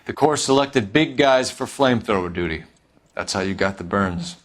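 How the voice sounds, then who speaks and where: A man's voice: lowish voice, expressive, moderate pace, close to an everyday voice. Man playing a clipped stern 1940s detective. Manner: clipped, dry interrogating. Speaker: police detective. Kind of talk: video game cutscene acting. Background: music score.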